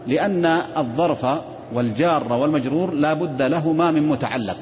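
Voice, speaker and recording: A man teaching Arabic grammar. He goes slowly through parsing, repeats his examples and puts rhetorical questions to his listeners. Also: fairly high pitch. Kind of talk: explanatory grammar lesson. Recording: dull lo-fi recording in a reverberant hall with faint noise.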